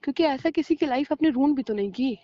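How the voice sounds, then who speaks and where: High pitched woman, sarcastic n scolding, heated couple fight on a phone call, dull dry sound. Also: expressive, fast talker, mellow, no room sound. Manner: mixes in English words and phrases. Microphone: recorded phone call.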